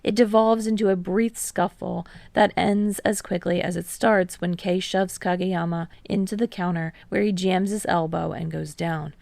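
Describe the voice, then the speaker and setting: Woman reading fiction audiobook style, lowish voice, tons of fry, dry sound. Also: fairly even intonation, normal speed, hardly any pauses, a little mellow, dry recording. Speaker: audiobook narrator. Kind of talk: scripted fiction narration.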